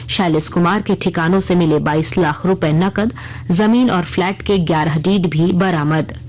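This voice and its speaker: Low-pitched woman reading radio news. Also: darkish tone, rough recording. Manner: formal, measured newsreader delivery. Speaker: news reader.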